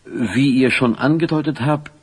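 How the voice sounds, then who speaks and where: A man's voice: medium pitch, a little slow, darkish tone, breathy edge. An actor playing an awkward, meekly persistent village newsman in a children's audio drama, talking formally and hesitantly. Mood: hesitant, embarrassed. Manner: hesitant, stammering, long-winded, formal.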